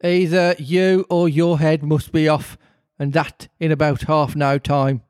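A man voicing a tyrannical, threatening storybook queen with a Birmingham accent, bossy and loud in manner. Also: fairly high pitch, level tone, a little slow, clear, resonant tone, dry recording, studio quality, pitched above the performer's own voice, natural voice. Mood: furious, imperious.

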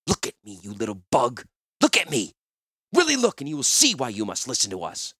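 A man's voice: medium pitch, huge pitch swings, quick pace, sharp tone, no echo, clean studio sound, pitched above the performer's own voice, heavily acted. A man voicing a grim, hateful warrior woman with intense menace in a fantasy audiobook. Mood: furious.

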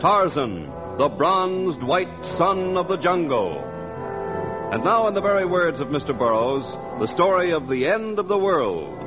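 A man's voice: fairly high pitch, big pitch swings, unhurried, loud music behind the voice. A man announcing dramatically in the style of an old radio adventure serial. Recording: dull vintage radio transcription.

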